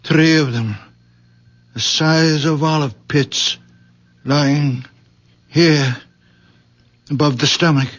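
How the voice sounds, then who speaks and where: An actor playing a very old man, a humble healer with visions, who speaks haltingly and repeats himself in a radio drama. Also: on the high side, steady tone, a bit bright. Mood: hushed wonder. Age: elderly. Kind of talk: 1970s radio drama acting. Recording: muffled, noisy old radio broadcast recording.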